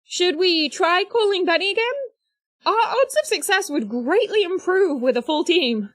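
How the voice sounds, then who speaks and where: A woman's voice: extremely high pitch, lively intonation, normal speed, a bit bright, dry recording, studio quality. A woman playing a polite, analytical, curious teenage superhero in an audio drama, with precise phrasing. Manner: precise, inquisitive.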